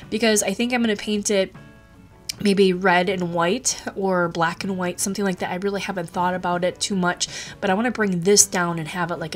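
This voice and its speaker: A woman hosting a craft tutorial, talking casually with lots of ums and restarts, her voice a little stuffy from a cold. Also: mid-range voice. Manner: chatty, rambling, many ums and restarts. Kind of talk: casual screen-recorded tutorial.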